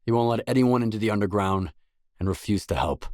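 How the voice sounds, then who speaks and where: Guy acting as gritty stalker game hero, deep dry close voice, blunt and serious, no nonsense. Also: a little fast, a little mellow, no room sound, natural voice. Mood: businesslike. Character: determined, blunt male stalker adventurer in a grim zone. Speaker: game protagonist. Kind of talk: video game dialogue voice lines.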